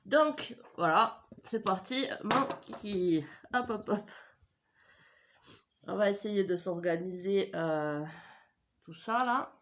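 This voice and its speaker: A woman with a blocked nose from a cold reads tarot cards at home, speaking into a desk microphone. She chats in a relaxed, rambling way, with plenty of hesitations, fillers and self-corrections. Her voice sits at an ordinary middle pitch for a woman. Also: takes it slowly, pauses often, slight background noise. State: stuffy head cold, voice not in form. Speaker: tarot reader. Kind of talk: casual tarot reading video.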